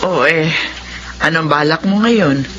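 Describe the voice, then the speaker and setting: A woman's voice: deep voice, takes it slowly, fairly bright tone, highs cut off, slight background noise. An actress playing a caring, supportive middle-aged mother in a Filipino radio drama, motherly and solicitous. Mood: pleased.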